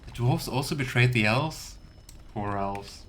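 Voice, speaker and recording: A man's voice: medium pitch, a little slow, fairly bright tone. Casual male game streamer, faint background noise. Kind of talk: casual let's play commentary.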